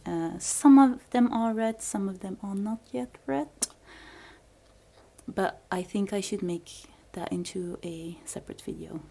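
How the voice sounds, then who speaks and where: Slow chatty young woman with dutch as her first language, giggly, shows off her flat on a handheld cam. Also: mid-range voice, gravelly. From Belgium. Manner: chatty, giggly, drops to whispers now and then. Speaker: vlogger giving a home tour. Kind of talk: casual house tour narration. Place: small apartment room indoors. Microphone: handheld camera held while walking.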